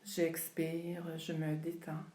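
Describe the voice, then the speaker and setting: Woman, roomy echo, very slow pace, calm soothing guided meditation, long pauses. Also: low voice. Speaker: yoga nidra instructor. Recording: roomy, echoing sound.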